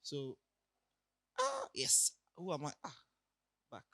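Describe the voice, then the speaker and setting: A young man with a raspy voice from recent illness, giving a casual conference talk full of hesitations. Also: a little high, wildly swooping pitch, slow, pushed and bright. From Nigeria. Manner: hesitant, many ums and restarts, laughs lightly. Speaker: conference speaker. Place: conference hall.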